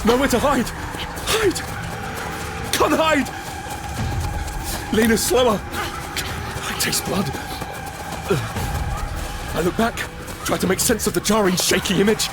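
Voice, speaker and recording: An actor playing a frightened survivor in an audio drama, narrating urgently in the first person and present tense in fragmented phrases. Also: male voice, very high voice, lively intonation, slow pace, pauses often, music in the background, exaggerated voice. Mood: frantic, fearful. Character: desperate, frightened male survivor of a monster apocalypse. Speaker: narrator and lead character.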